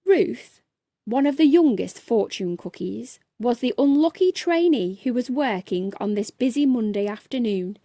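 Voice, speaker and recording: Casting: female children's storyteller, high-pitched and unhurried, reading expressively in a mellow tone. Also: expressive, no echo. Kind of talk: expressive scripted children's bedtime story narration.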